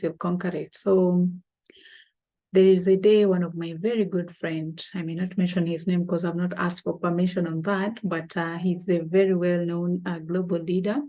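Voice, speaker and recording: A young adult woman with a Ugandan accent, hosting her own channel and talking casually to camera with many fillers and pauses. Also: fairly low pitch, mellow. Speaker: channel host.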